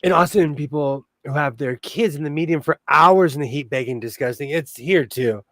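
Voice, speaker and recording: A man from Southern California talks loosely and laughs a lot as he tells stories to his co-host. His voice sits a bit high for a man. The sound is close and dry, with almost no room around it. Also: moderate pace, a bit bright, dry recording. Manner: chatty storyteller, laughs often. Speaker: co-host of a live sewing stream. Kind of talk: casual chat.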